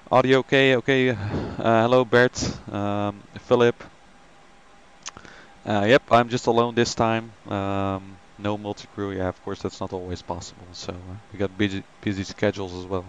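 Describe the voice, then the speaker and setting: A man's voice: fairly low pitch, little pitch movement, moderate pace, clean tone, highs cut off. A man hosting a flight simulator live stream, chatting casually with many ums and greeting viewers by name.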